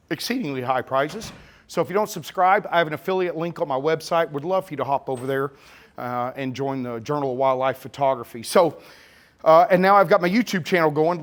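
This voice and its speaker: A middle-aged man with a slurred Texas-Oklahoma drawl addresses a packed event room at a camera store, his voice sitting a touch higher than most men's. He talks in a loose, joking way, needling the crowd and tossing in sarcasm between points. It is a live keynote presentation. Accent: Texas-Oklahoma blend. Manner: joking, teasing, sarcastic, chatty. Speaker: nature photographer giving a keynote talk.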